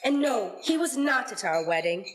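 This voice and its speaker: A woman's voice: on the high side, very animated, normal speed, a little hiss or hum. A woman playing a firm, frank young wife and mother in a radio play. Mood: disapproving.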